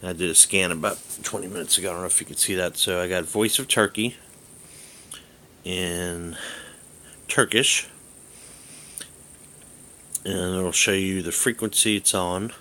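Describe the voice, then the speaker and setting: Male hobbyist vlogger, low-pitched and forceful in tone, casual walkthrough, meandering and hesitant, faintly noisy recording. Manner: halting, rambling, thinking aloud. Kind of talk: casual hands-on app demonstration.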